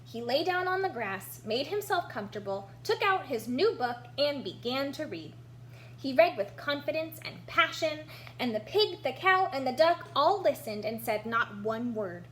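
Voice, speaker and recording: A woman's voice: high voice, animated, moderate pace, fairly bright tone. A woman reading a children's storybook aloud expressively, narrating for young listeners. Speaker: storybook reader. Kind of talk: animated children's read-aloud with picture commentary. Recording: noticeable background hum.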